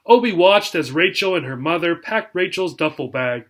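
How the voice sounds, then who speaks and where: A man working as a teacher, reading a children's chapter book aloud in an expressive, explanatory way and checking in with listeners. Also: fairly high pitch, normal speed. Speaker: teacher reading a story to learners.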